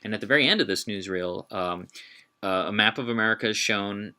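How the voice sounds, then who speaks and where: A man giving an informal history lecture in a halting way, with emphatic, dramatic delivery when quoting. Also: on the low side, bright, forceful tone, a little hiss or hum. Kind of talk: informal illustrated public lecture. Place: talk given to a live group.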